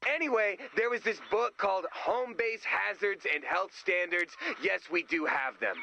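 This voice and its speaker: Excitable high-pitched nerdy sidekick. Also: male voice, moderate pace, gravelly, dull audio, slight background noise, heavily acted. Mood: earnest. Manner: earnest, chatty, goofy. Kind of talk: video game character voice lines.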